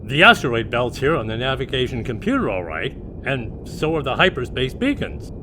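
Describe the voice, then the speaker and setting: An actor playing a worried, deferential starship captain in an audio drama, speaking cautiously and respectfully. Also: male voice, moderate pace, natural voice. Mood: concerned. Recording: steady engine rumble behind the voice.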